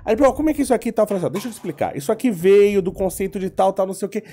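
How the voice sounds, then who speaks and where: A man's voice: high-pitched, rapid-fire. A man on a casual podcast, explaining through stories and acting out the dialogue as he goes. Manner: animated storyteller, explains with stories and acts out dialogue. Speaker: podcast guest.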